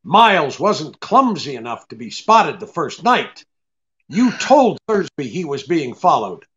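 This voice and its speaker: A tough, shrewd private detective in a radio drama. His fairly high voice moves around a lot, clipped and hard-boiled, on slightly muffled audio. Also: sing-song, unhurried, a bit bright. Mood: hard, accusing. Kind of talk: old-time radio drama acting.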